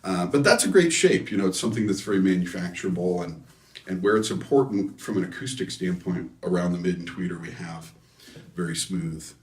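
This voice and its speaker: A man with a low voice talks through technical design in a casual interview. He explains haltingly, restarting phrases as he goes. The sound is roomy, picked up at some distance from the microphone. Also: animated. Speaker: loudspeaker designer being interviewed. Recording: echoey room, distant microphone.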